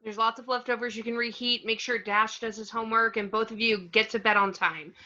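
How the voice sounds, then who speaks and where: Woman acting anxious superhero mom, bright voice. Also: a little high, steady tone, fairly quick, lo-fi sound. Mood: brisk, worried. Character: anxious, take-charge superhero mother and pilot. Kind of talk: amateur online table read.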